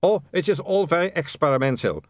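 A man's voice: on the high side, expressive, a little fast, dry recording, clean studio sound, pitched above the performer's own voice, close to an everyday voice. A man reading a story aloud and voicing a nervous male vampire inventor with a foreign accent, pleading and trembling. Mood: sheepish.

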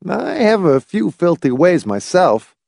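A man reading a wry, lively adult man. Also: high-pitched, measured pace, vocal fry, dry recording, studio quality, pitched above the performer's own voice, exaggerated voice. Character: wry, expansive adult man, drily provoking. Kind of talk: audiobook character voice.

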